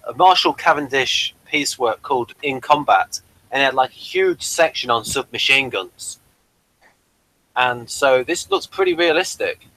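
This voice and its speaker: A man's voice: mid-range voice, sharp tone, low-quality recording. A man hosting a casual pop-culture review, rambling keenly through small details. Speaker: review show host.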